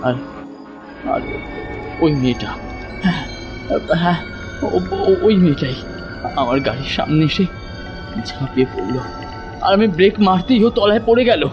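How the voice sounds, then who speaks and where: High-pitched, frightened young man. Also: expressive. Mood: frightened, insistent. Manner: stammering, halting, repeats words. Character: terrified, insistent young city man haunted by visions. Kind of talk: horror audio drama. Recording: loud music over the voice.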